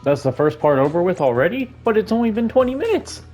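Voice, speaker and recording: A man giving casual, jokey playthrough commentary, halting and repeating himself as he goes. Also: high-pitched, very animated, a little mellow, soft background music. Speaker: gaming YouTuber.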